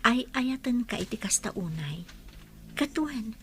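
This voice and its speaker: A woman with an Ilocano accent playing a doubting, lovestruck young woman in a radio drama, soft and coy. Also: on the high side, expressive, unhurried, a little hiss or hum. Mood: coy, loving.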